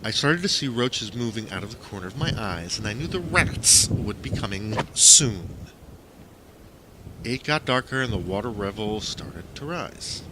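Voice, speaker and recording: A man reading first-person fiction aloud as an audiobook narrator, with an expressive, dramatic delivery. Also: on the low side, measured pace, background noise.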